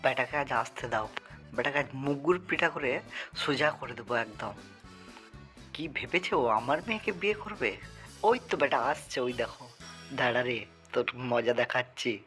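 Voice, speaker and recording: Man acting bright-voiced, blustering crafty father. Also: medium pitch, expressive, normal speed, long pauses, soft background music. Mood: angry, threatening. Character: crafty, blustering father guarding his daughter. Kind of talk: Bengali children's folktale cartoon acting.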